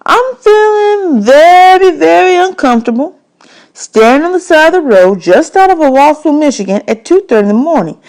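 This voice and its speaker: A woman voicing a friendly, teasing, slightly nervous man in a children's novel read aloud, with drawn-out, folksy, emphatic phrasing. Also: high-pitched, very animated, a little mellow, pitched above the performer's own voice. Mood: uneasy, earnest.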